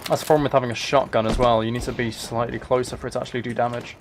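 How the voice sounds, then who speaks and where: Male game streamer, medium pitch, casual and self-deprecating chat, with clear gunfire and clicking behind him. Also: level tone, very fast, noisy background. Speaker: live streamer. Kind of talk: casual live gaming commentary. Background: video game gunfire, clicking.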